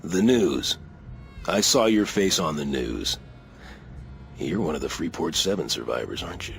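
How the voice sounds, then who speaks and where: Chummy game bartender, super deep gravelly voice, slow n confiding. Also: male voice, animated, fairly bright tone, soft background music, heavily acted. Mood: sly, curious. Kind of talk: video game cutscene acting.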